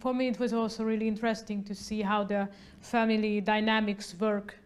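A young woman interviewing a guest in a small indoor studio on a lapel mic, chatty with many fillers, trailing off softly at the ends of her lines. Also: mid-range voice, little pitch movement, fairly quick, clear, resonant tone. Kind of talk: festival interview.

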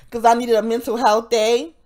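Nasal, congested woman rambling. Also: a little high. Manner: profane, rambling, frequent self-interrupting laughs. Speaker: vlogger. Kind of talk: casual rambling monologue.

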